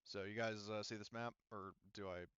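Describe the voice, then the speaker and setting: A man's voice: lowish voice, steady tone, normal speed, fairly bright tone, clear, resonant tone, dry recording. A man narrating as game master for a tabletop game, rambling with many fillers and restarts, heard over dull, lo-fi call audio.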